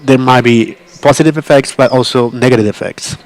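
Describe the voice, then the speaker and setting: A man interpreting a conference talk live, in a halting way with frequent restarts and self-corrections. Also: mid-range voice, fairly even intonation, no echo.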